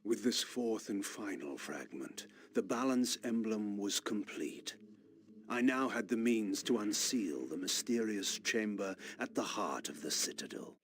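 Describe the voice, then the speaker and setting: Deep-voiced, brooding vampire lord. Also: male voice, lively intonation, a little hiss or hum. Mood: brooding, ominous. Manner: theatrical, formal, measured diction. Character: proud, brooding ancient male vampire lord. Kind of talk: dramatic scripted narration and dialogue for a video game.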